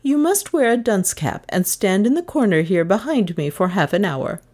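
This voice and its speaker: A woman's voice: medium pitch, lively intonation, moderate pace, darkish tone, natural voice. A woman reading a children's story as a stern female schoolteacher who is formal, clipped and reproachful. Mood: coldly resolved. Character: stern, shaming schoolteacher woman, cool and exacting. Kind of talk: children's audiobook character voice.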